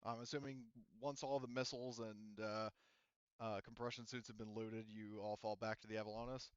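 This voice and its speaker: A man acts as game master for a tabletop roleplaying game. He explains things casually, often circling back to rephrase. His voice is clean and smooth, captured dry with a slightly muffled top end. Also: fairly low pitch, little pitch movement, no echo. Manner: explanatory, halting, repeats and rephrases phrases. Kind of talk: casual, improvised game narration.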